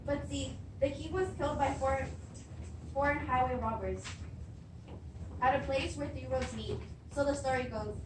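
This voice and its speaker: Reassuring yet anxious tragic queen, played by a young student actress, stage readers theatre, far from the mic over clear noise. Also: high voice, normal speed, pauses often, lightly acted. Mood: confident, reassuring. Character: pleading, reassuring queen, anxious wife of the king. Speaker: student actor. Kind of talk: readers theatre stage acting of Greek tragedy. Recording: distant echoey room with clear background noise.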